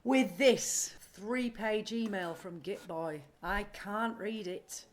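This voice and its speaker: An actress playing an impulsive, scattered and stubborn woman in an audio drama, deadpan and earnest. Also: medium pitch, takes it slowly, lightly acted. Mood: weary, stubborn. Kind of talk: naturalistic audio drama acting.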